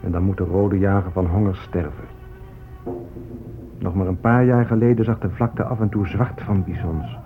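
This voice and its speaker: Proud low-voiced Native chief. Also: male voice, steady tone, normal speed. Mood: mournful. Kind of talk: solemn radio drama oration. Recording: muffled phone-like old radio recording. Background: music.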